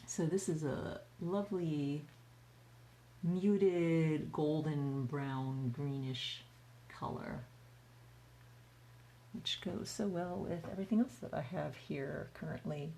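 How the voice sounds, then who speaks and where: A woman's voice: low-pitched, slow, gravelly, long pauses, dark tone, slight background noise. A woman from the United States, raised speaking Chinese first, chatting casually with viewers on a livestream while she paints and reads their comments aloud. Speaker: artist hosting a livestream Q&A.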